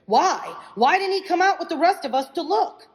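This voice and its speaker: A woman's voice: very high-pitched, very animated, normal speed, voice pushed up. A woman reading the part of a suspicious, accusatory male neighbor in a dramatic play. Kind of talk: dramatic read-aloud of a teleplay. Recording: reverberant room.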